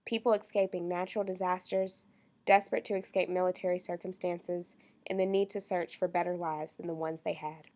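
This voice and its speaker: A young woman with a slightly low voice reads an earnest introduction from a script, setting up the documentary. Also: level tone, brisk, darkish tone. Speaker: student narrator.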